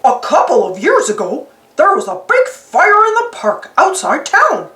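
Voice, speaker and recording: A woman's voice: high-pitched, wildly swooping pitch, unhurried, fairly bright tone, reverberant, voice pushed up, heavily acted. A woman voicing a goofy, boastful but good-hearted male cartoon dog, proud and eager.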